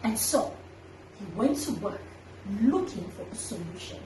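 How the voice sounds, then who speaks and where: A woman hosting a children's story time, telling an animal tale with dramatic, deliberate emphasis. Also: mid-range voice, animated. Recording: echoey room, distant mic, faint noise.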